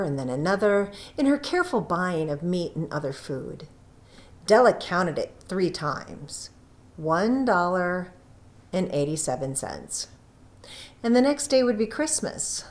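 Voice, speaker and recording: A woman narrating a classic short story from a script, in a deliberate, expressive storytelling manner with pauses. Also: mid-range voice.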